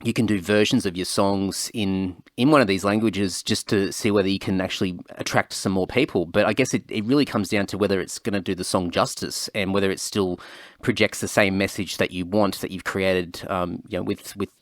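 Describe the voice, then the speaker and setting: A man's voice: low-pitched, fairly quick, no echo, clean studio sound. A man with an Australian accent hosting a podcast, chatting casually and rambling with frequent restarts. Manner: relaxed, rambling, many restarts and fillers. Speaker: podcast host. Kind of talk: casual interview chat.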